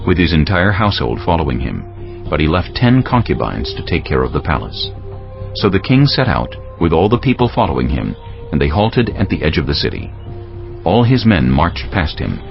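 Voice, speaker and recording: Very deep, gravelly male Bible narrator. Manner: solemn, measured storytelling. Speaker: narrator of a dramatized audio Bible. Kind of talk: scripted dramatic scripture narration. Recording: dull lo-fi audio with background music.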